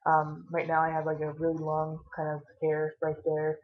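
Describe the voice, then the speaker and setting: A tired, caffeinated woman vlogging casually with a low voice, rambling and swearing now and then. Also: completely flat delivery, normal speed, narrow, tinny audio.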